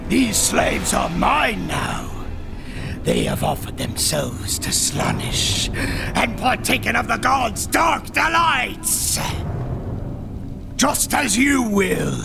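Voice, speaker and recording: A gloating cult leader drawls his threats in a high, fried, crackling voice. Each word is stretched out very slowly, the pitch swooping wildly. A menacing video game villain. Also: full character voice. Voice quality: gravelly. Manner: menacing, gloating, slow drawn-out delivery. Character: cruel male cult leader of a dark pleasure god. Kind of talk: video game cutscene voice acting.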